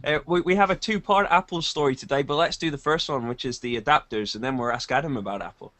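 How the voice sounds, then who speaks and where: A man's voice: fairly high pitch, fast talker, fairly bright tone, dry recording. Wry man hosting a tech chat. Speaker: podcast host.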